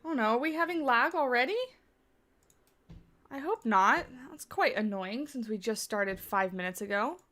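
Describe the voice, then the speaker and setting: A woman's voice: on the high side, expressive, normal speed, bright, forceful tone. A woman hosting a game livestream in a casual, rambling way, with many fillers and restarts, congested and sniffly from allergies. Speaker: livestream host.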